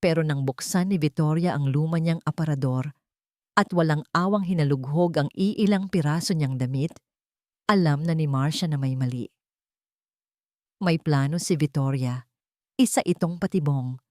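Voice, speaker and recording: A Filipino woman reads a sentimental family drama as a scripted narration. Her voice sits a little low, and the sound is dry, with very little room around it. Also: normal speed, pauses often, a little mellow, no room sound. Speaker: story narrator.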